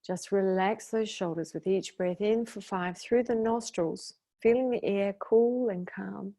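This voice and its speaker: Woman, meditation teacher, very slow pace, gravelly creak, soothing instruction, long pauses. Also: mid-range voice, dark tone. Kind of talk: guided meditation.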